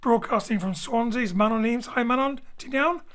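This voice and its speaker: A man's voice: extremely high pitch, fairly quick, a bit bright. A man from Wales, director and co-writer, introducing the company in a friendly, jokey way and mixing in Welsh phrases, from a home studio. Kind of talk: scripted introduction to a show.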